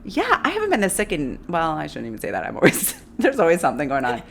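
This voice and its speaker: Hoarse, raspy woman with vocal fry. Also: deep voice, wildly swooping pitch, quick pace, a bit bright, slight background noise. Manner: chatty, laughs often. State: hoarse from a long illness. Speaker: podcast host. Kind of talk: casual chat and read ads.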